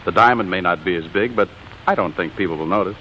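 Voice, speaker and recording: An actor playing a smooth-talking, excitable sweetheart in a 1940s radio comedy, with an earnest, wheedling delivery. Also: male voice, low-pitched, fast, close to an everyday voice. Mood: sheepish, coaxing. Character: smooth-talking, excitable young male sweetheart, a scheming showman. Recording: dull, hissy 1940s radio broadcast recording.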